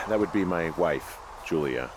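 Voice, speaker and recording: A man's voice: lively intonation, takes it slowly, noisy background. An actor playing a weary, guarded middle-aged American man in a video game, talking in a hesitant, subdued, naturalistic way. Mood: somber, reluctant. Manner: hesitant, subdued, low-key. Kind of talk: naturalistic game dialogue over a handheld radio.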